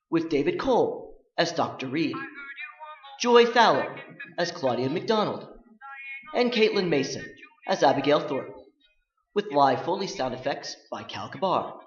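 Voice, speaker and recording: Male vintage radio announcer, showy and formal; lively high pitch, echoey stage, faint music. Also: a little slow, pauses often, highs cut off. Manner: formal, showmanlike.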